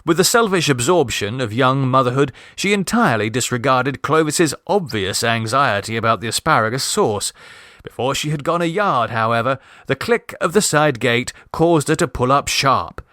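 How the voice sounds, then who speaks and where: A man's voice: medium pitch, expressive, normal speed, sharp tone, no room sound, clean studio sound. A man narrating a comic literary short story as an audiobook reader.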